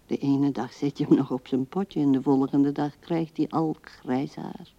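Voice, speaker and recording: A woman's voice: very deep voice, steady tone, unhurried, dark tone, full character voice. An actress playing an old widowed woman who muses tenderly and drifts as she talks to herself in a radio play, on a dull older recording. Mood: tender musing. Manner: musing, drifting, talking to herself and the absent.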